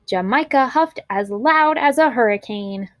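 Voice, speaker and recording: A woman narrating a children's storybook aloud in an expressive, clearly enunciated storytelling manner. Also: fairly high pitch, measured pace, no room sound, clean studio sound.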